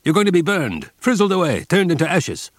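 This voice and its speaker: A man's voice: high-pitched, very animated, brisk, no room sound, clean studio sound, pitched above the performer's own voice. A man voicing a brutal male camp prisoner who screams with hysterical fury at new arrivals. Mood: furious.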